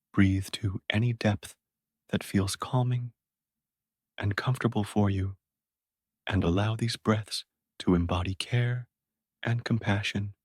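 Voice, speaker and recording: A man speaking slowly and softly as he guides a sleep meditation, with long soothing pauses. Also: fairly low pitch, husky, no echo, clean studio sound.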